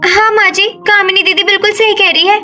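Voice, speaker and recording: Very high, harried young village wife woman acting. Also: fairly even intonation, a little fast, sharp tone, faint music behind. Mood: plaintive. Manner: plaintive, scolding. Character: harried young village daughter-in-law, complaining.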